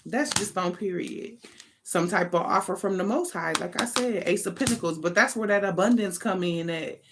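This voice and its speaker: A woman's voice: fairly low pitch, normal speed, noisy background. An American woman giving an informal, improvised tarot reading as an online host, talking in an emphatic, slangy, conversational way with casual swearing.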